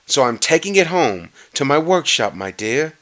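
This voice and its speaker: A man's voice: a little high, big pitch swings, moderate pace, a bit bright. A man voicing a sly, gleeful storybook monster who lies and steals. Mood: falsely sweet.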